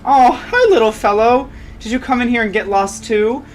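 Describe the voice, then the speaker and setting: A woman's voice: on the high side, normal speed, slight background noise, voice pushed up, close to an everyday voice, poor audio. A woman voicing a young female monster trainer in a storybook reading, exclaiming and swearing, frightened and sarcastic. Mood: gentle.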